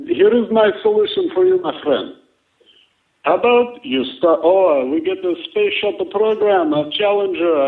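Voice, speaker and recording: Over a tinny, echoey line comes a man playing a boastful Soviet Russian with a thick accent. His voice is high for a man, and he taunts and threatens in broken English with repeated catchphrases. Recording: tinny phone-like line, roomy echo.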